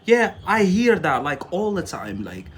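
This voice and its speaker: A man's voice: sits high, very animated, a little slow, slightly rough. A young man, not a native English speaker, teaching English on a live stream, chatting casually with viewers, often laughing and tagging on "yeah?".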